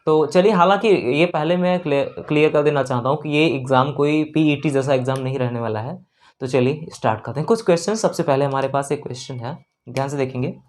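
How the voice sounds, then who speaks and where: A man's voice: medium pitch, quick pace, a little mellow. A man teaching an exam-prep lesson step by step, repeating phrases and mixing in English terms. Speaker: maths teacher.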